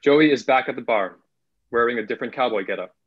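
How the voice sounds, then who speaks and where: A man's voice: medium pitch, quick pace. A young man narrating, reading out screenplay stage directions over a video call. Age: young adult. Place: indoor room, video call. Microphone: video call, computer mic. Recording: muffled video call audio.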